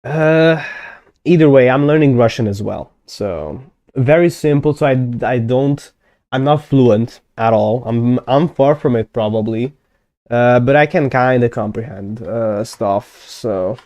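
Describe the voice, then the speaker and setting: A young man whose first language is Hungarian chats on a live stream in a mellow, warm tone. The recording is dry and close, with no room sound. He thinks aloud, trails off with fillers and corrects himself often. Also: medium pitch, a little slow, no room sound. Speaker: conlang streamer. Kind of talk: casual live-stream chat.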